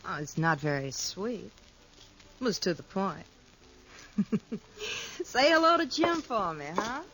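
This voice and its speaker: A woman's voice: mid-range voice, sing-song, fairly bright tone, slight background noise, poor audio. An actress playing a sly young saloon girl who teases and mocks in a 1950s radio drama. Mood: teasing. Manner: slow, mocking, teasing drawl.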